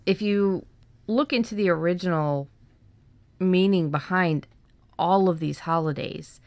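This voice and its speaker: A woman's voice: lowish voice, a little slow, darkish tone, no echo. A woman co-hosting a podcast, talking casually and informally about writing. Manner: informal, conversational. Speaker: podcast co-host. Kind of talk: casual discussion of fiction writing.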